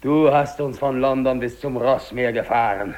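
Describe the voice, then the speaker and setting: An actor playing a dying, weary English polar explorer, speaking slow, declamatory monologue with long pauses in a 1950s German radio drama. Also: male voice, mid-range voice, darkish tone, natural voice. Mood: weary, solemn. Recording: noisy old radio drama recording.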